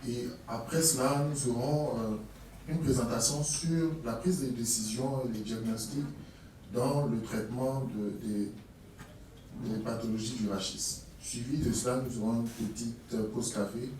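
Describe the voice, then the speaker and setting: A man's voice: mid-range voice, fairly even intonation, normal speed, darkish tone. A man moderating a course, introducing the program with hesitant fillers. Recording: distant mic in an echoey hall with faint noise.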